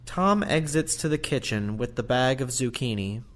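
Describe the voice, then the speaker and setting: Lively-pitched male stage-direction narrator. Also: moderate pace.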